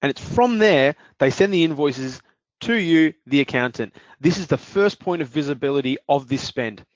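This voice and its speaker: A man presenting a product demo on a webinar, talking in a measured, explaining way with some fillers. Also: on the high side, lively intonation, no echo. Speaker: webinar presenter, business development manager. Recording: dry dull lo-fi audio.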